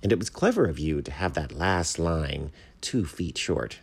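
Guy putting on a snooty polite mockingbird, lectures like a critic, storybook style, swoopy pitch, bit of gravel. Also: lively intonation, moderate pace, exaggerated voice. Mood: approving, pedantic. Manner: pompous, polite, pedantic. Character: self-important, courteous male mockingbird, learned poetry critic.

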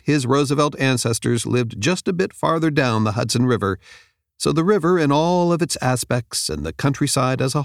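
A man reads a nonfiction audiobook in a measured, clearly enunciated way, close to the microphone. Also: medium pitch, no room sound. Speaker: audiobook narrator. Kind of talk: scripted nonfiction audiobook narration. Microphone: close studio microphone.

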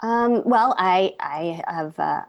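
A middle-aged woman, an actor and playwright, talking thoughtfully as a podcast guest with many pauses and restarts. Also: lowish voice, lively intonation, creaky, no echo, muffled recording. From United States.

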